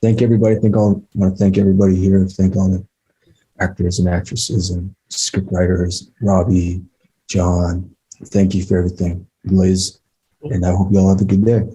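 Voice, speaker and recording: Middle-aged male, deepish and very mellow, husky texture, folksy closing speaker on an online call. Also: little pitch movement, normal speed, poor audio. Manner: folksy, plainspoken. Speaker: organization representative giving closing remarks. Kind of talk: informal encouraging speech. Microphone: online video call.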